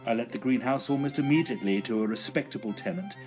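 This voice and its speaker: A man playing a fussy, curious Edwardian gentleman ghost hunter in a tongue-in-cheek period audio drama, speaking in a formal, exclamatory, old-fashioned way. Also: medium pitch, lively intonation, fast talker, over music, natural voice. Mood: measured storytelling.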